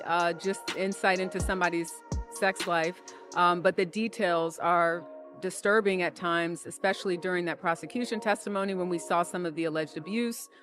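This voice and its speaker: A woman giving an unscripted report as a court correspondent in a news interview. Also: fairly low pitch.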